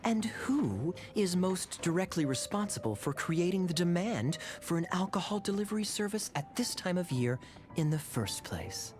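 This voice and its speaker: An actor playing a suave, teasing young knight captain in a video game, smooth-talking and theatrical. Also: male voice, high-pitched, very animated, moderate pace, darkish tone. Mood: sly teasing.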